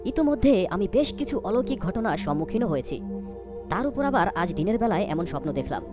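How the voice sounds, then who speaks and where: A woman's voice: mid-range voice, big pitch swings, fairly quick, over music, natural voice. A woman voicing a young, anxious storyteller in a cartoon ghost story, dramatic, wondering and fearful. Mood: uneasy. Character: young, anxious storyteller caught in eerie events. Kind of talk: scripted cartoon ghost-story narration and dialogue.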